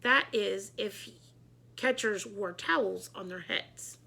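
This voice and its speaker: A woman reading a children's book aloud in an animated, emphatic storytelling style. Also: medium pitch, slow pace, vocal fry, husky.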